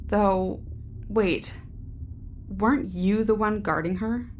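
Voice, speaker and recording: An actress playing a cool, cynical security team member in a science fiction audio drama, terse, wry and matter-of-fact. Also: female voice, mid-range voice, slow pace, soft background music, close to an everyday voice. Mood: inquisitive.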